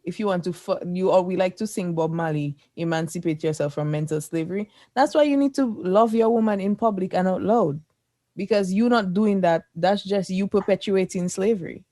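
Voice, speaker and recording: A woman's voice: on the low side, moderate pace, mellow, dry recording. A woman with a Caribbean accent chatting casually and outspokenly as a podcast host in playful group banter.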